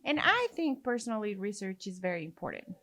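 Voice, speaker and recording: A woman's voice: mid-range voice, sing-song, a little fast, bright, forceful tone. A woman from Mexico with a Mexican Spanish accent, hosting a podcast and telling stories in casual chat, full of fillers. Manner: chatty, storytelling with many fillers. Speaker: podcast host.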